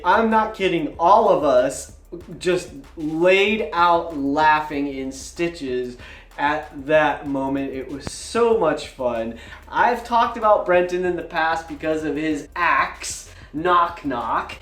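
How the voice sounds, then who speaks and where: A man in his forties telling a personal story casually as a gaming vlogger, with theatrical emphasis and dramatic pauses. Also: fairly high pitch. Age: 40s. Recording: roomy, echoing sound.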